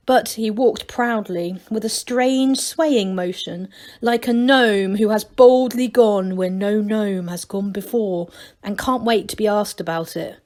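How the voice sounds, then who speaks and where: Female story reader for a school: expressive narration, slightly high pitch.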